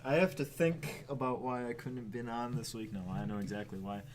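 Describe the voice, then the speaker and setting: A nineteen-year-old man, a podcast guest, chatting casually in a flat, deep voice. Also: a little fast, a crowd in the background. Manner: self-described monotone, deep-voiced. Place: room with friends. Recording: crosstalk from other hosts. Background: friends talking over him.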